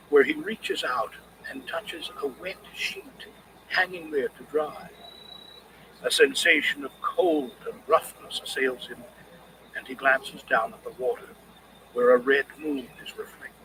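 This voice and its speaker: A man reading scripted literary criticism as an audiobook narrator, in a measured, expressive way. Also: medium pitch, gravelly, a little hiss or hum, low-quality recording.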